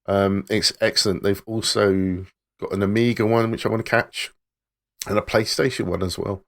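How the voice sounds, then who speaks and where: A man with a fairly low voice chats casually as a podcast co-host. He recommends things with easygoing enthusiasm. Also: fairly even intonation, moderate pace, vocal fry, a bit bright.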